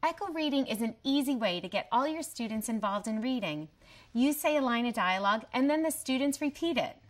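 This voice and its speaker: A woman presenting a promotional training video in a scripted, clearly structured explanatory way. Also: on the high side, animated, a little fast. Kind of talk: scripted instructional narration.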